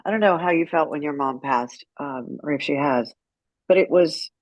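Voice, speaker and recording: A woman's voice: low voice, normal speed, a little mellow, no room sound, highs cut off. A woman speaking as an interview guest, telling her story in a candid, outspoken and emphatic way. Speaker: guest, author and caregiver advocate.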